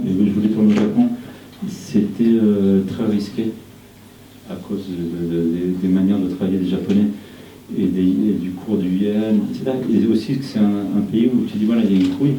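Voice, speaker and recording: Halting, low-voiced man heard from afar. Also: mellow. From Argentina. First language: Spanish. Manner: halting, many restarts and repeated words. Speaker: film director. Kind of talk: interview conversation. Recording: echoey room, distant mic, faint noise.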